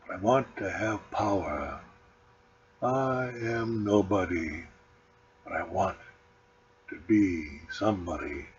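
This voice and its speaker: A man reading his own spiritual book as a scripted audiobook, with solemn, deliberate, measured phrasing and pauses. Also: fairly low pitch. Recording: dull lo-fi recording with background noise.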